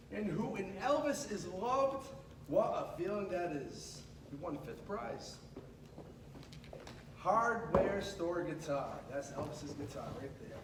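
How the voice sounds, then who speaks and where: High-voiced man reading aloud in an echoey room. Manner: expressive, with casual asides to listeners. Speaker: storybook reader at a school. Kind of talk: animated children's picture book read-aloud. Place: indoors at a school. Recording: echoey room, distant mic, noisy background.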